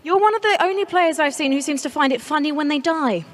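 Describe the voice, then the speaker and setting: Broadcast interviewer, woman, bright higher voice, teasing, long hall reverb. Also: fast. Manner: bubbly, teasing. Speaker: on-site interviewer. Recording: echoey arena hall.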